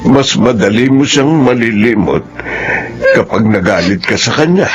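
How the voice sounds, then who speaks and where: A man playing a sorrowful older father in a Filipino melodrama, speaking gravely and haltingly. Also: mid-range voice, lively intonation, highs cut off, close to an everyday voice. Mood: grave, sad. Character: sorrowful older father revealing his dead son's secret. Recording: music behind the voice.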